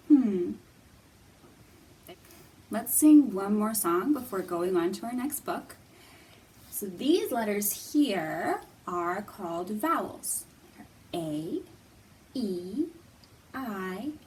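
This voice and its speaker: A woman presenting a children's storytime with singalong songs, encouraging and playful, explaining things simply for young children. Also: mid-range voice. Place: room in a public library. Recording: distant mic, background room noise.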